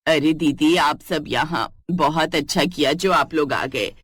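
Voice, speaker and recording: A woman voicing a fussy, grumbling older mother-in-law in an animated family story. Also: deep voice, a little slow, slightly rough, no room sound, voice pushed down, heavily acted. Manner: complaining, fussy.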